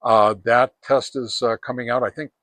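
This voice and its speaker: A man reviews gear in an informal, unscripted way, at a middling pitch. He speaks haltingly, often pausing partway through a sentence before carrying on. The sound is close and dry, with very little room in it. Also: steady tone, fairly bright tone, no room sound. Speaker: reviewer, presenter of radio antenna tests.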